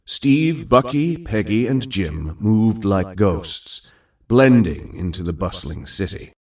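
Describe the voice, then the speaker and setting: A man's voice: fairly low pitch, animated, slow, darkish tone, recorded from a distance. A man reading scripted dramatic narration for an audio drama.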